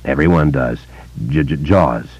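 A man voicing a grave, quiet grown man who speaks softly and stutters. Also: rumbling low, breathy edge, dry recording, exaggerated voice. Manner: soft, measured, stuttering. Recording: dull lo-fi dry recording.

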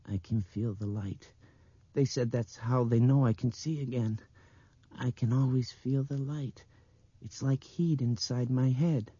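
A small girl's voice, put on by a male narrator, pitched far above a man's talk. She is dreamy and eerie, trembling with fear and insistent, in an audiobook horror story. The recording is slightly dull and dry. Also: steady tone, a little slow, warm tone, dry recording. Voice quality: breathy, trembling. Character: frightened blind little girl, dreamy and eerily intense.

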